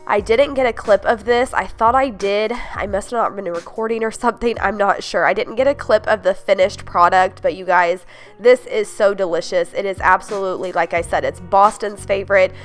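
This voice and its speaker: A young woman with a congested, stuffed-up voice, chatting upbeat about easy slow-cooker recipes as a home cooking vlogger. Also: mid-range voice, soft background music. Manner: upbeat, gushing, many "like"s and "you guys". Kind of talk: casual chatty recipe voice-over.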